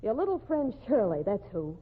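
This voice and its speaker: A woman's voice: mid-range voice, sing-song, a little fast, breathy edge. An actress playing a tough, jealous woman in a 1940s radio drama, with a hard-edged, slangy way of talking. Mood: menacing. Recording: tinny old radio broadcast.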